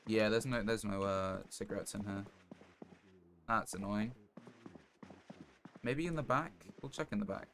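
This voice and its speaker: Man, game streamer, lowish voice, casual commentary, fragmented thinking aloud, noisy background with clicks. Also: a little slow, long pauses, a bit bright. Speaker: live streamer playing a survival game. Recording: clear background noise, clicking.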